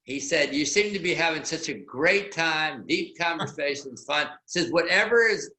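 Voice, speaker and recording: Male call host, chatty and reflective, slightly high and bright, in an echoey room. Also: animated, moderate pace. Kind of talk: casual video call chat. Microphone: video call.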